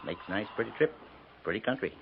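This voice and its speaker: Folksy, rambling old frontier hand. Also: male voice, on the low side, animated, fast, creaky. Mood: curious, skeptical. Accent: American. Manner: folksy, rambling, hesitant drawl. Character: lonely, rambling old frontier horse station keeper. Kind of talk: 1950s western radio drama acting. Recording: noisy, tinny 1950s radio recording.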